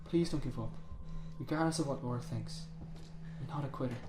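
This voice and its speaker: A man acting in a naturalistic radio drama as a worried, loyal young friend who stays steady in a crisis. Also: mid-range voice, fairly quick, music in the background, natural voice. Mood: tender, pleading.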